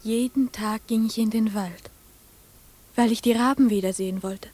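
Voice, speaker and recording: A woman's voice: a little high, fairly even intonation, moderate pace, a little mellow, faint music behind, close to an everyday voice. An actress playing a trusting young farmer's daughter, telling her story simply and earnestly in a radio play. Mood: earnest, searching. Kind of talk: literary radio play monologue.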